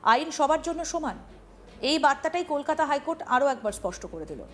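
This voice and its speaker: A woman anchors the news. Her voice sits high in pitch as she reads scripted narration. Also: animated, fairly quick, fairly bright tone.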